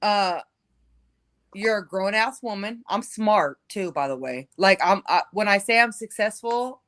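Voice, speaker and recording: A woman's voice: medium pitch, measured pace, a bit bright, no room sound. A woman in her forties telling her personal story as an interview guest, conversational, emphatic and self-assured. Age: 40s.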